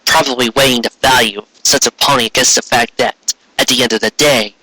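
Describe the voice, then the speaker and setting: A man narrating a story in an expressive, dramatic storybook style, with the odd aside. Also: medium pitch, brisk, no room sound, low-quality recording. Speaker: fan fiction reader.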